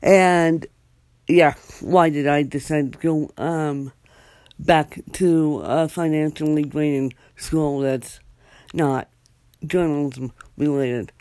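A young adult speaker hosts a solo podcast, drawling slowly through a loose, rambling update. The talk halts often, full of fillers and restarts. The voice sits close and dry, with no room sound. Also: mid-range voice, steady tone, a little mellow, no echo. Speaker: podcast host.

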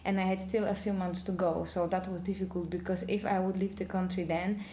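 Woman, low voice, hesitant. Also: flat delivery, mellow. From Poland. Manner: hesitant, many filler pauses. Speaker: guest. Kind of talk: casual interview chat.

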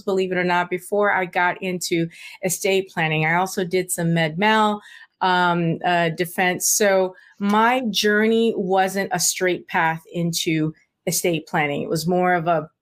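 Low-voiced chatty woman lawyer. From Puerto Rico, raised in South Florida. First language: Spanish. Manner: chatty, many fillers and restarts. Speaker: estate planning attorney, guest. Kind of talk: casual podcast interview.

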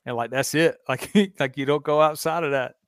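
A man's voice: fairly high pitch, fairly even intonation, a little fast, fairly bright tone, clean tone, no room sound. Podcast host, man in his 30s, Southern US accent; easygoing, rambling, dry close mic. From American South. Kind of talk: casual chat.

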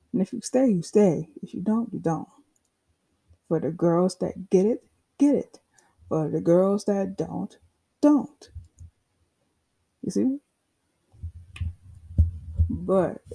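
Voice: mid-range voice, mellow, poor audio. A podcaster in their twenties talking in a candid, rambling solo monologue full of swearing and side remarks. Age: 20s. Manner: candid, profane, rambling with asides. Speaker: podcast host.

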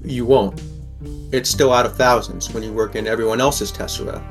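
A man's voice: mid-range voice, fairly even intonation, normal speed, faint music behind, close to an everyday voice. A man playing a wry, protective sixteen-year-old boy from a poor district, narrating a scripted audio drama in the first person.

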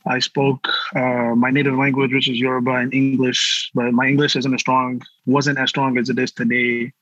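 A young man from Nigeria tells his story in a relaxed, easygoing way during a casual chat. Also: medium pitch, normal speed, dry recording, muffled recording. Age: young adult. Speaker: youth artist guest.

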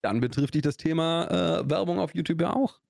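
A man's voice: on the high side, expressive, a little fast, vocal fry, a little mellow, no room sound, clean studio sound. A young man from Augsburg with a Swabian accent, co-hosting a podcast in a loose, rambling chat full of fillers and restarts. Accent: Swabian German. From Augsburg, Swabia, Germany. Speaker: podcast co-host. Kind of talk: casual chat.

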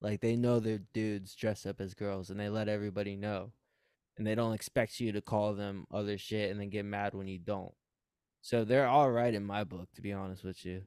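A man's voice: fairly low pitch, fairly even intonation, normal speed, a little mellow, clean tone, no room sound, clean studio sound. A young man co-hosting a casual podcast, laughing often and mimicking voices as he tells stories. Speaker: podcast co-host. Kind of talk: casual crude banter.

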